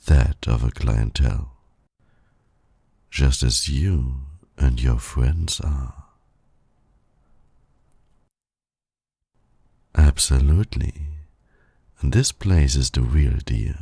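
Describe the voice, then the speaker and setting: A man performs a suave villain flirting with his nemesis in an ASMR roleplay. His voice is dark, very breathy and full of gravel, recorded close and dry. He teases with formal, silky condescension. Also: a bass voice, takes it slowly, no echo, heavily acted. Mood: smooth, assured.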